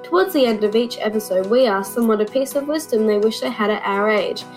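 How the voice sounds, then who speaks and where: A teenage girl with an Australian accent, a student podcast host reading a scripted introduction in a clear, read-aloud way. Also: a little high, fairly quick, faint music behind. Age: teens.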